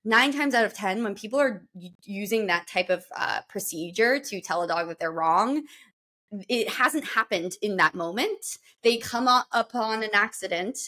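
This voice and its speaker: A woman's voice: mid-range voice, lively intonation, moderate pace, bright, forceful tone. A woman speaking as a guest dog trainer on a podcast, explaining things in an earnest, patient way. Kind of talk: podcast conversation.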